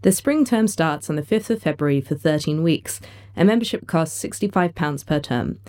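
A woman's voice: low voice, animated, normal speed, warm tone. A woman presenting a scripted events listing on community radio.

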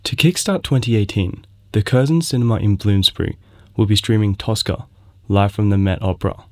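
Lowish-voiced man reading events listings. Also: fairly quick. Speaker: community radio presenter. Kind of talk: scripted events listing narration.

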